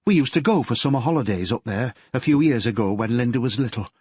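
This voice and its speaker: A man voicing a grieving middle-aged mother with a Yorkshire accent, who talks in a gentle, fretful and homely way. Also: medium pitch, lively intonation, fast talker, husky, dry recording, pitched above the performer's own voice. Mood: sorrowful. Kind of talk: audiobook character voice.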